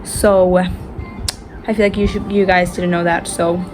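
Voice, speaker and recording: A woman's voice: on the low side, flat delivery, slow pace. A young woman in her twenties from Argentina, with an Argentine Spanish accent and Spanish as her first language, chatting casually and tiredly in a rambling vlog. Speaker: vlogger. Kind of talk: casual chat. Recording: music playing in the background.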